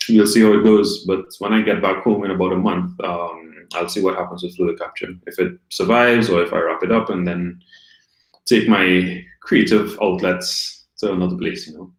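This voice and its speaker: A man from Trinidad and Tobago in his thirties, a guest telling his story in a relaxed, rambling way with many ums. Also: deep voice, moderate pace. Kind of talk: casual live-stream interview. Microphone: video call. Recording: echoey room on a video call.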